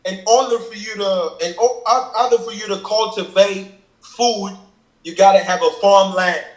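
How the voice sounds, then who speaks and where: A man's voice: sits high, fairly even intonation, moderate pace. Man, earnest and explaining. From Cameroon. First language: Kenyang. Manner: earnest, explains at length, keeps asking "you feel me". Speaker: rapper explaining his lyrics. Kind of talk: casual reaction chat. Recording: echoey room.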